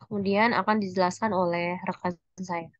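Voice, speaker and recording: A young woman reading answers aloud in a student presentation, halting and restarting words as she goes. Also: lowish voice, fairly even intonation, dry recording, dull audio. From Indonesia. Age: young adult. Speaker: university accounting student presenting.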